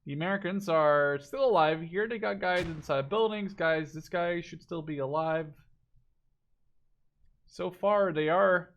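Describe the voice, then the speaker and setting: Male game spectator-commentator, slightly high voice, informal, thinking out loud through the play-by-play. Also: measured pace, highs cut off. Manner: informal, thinking aloud as he watches. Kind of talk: casual live match commentary.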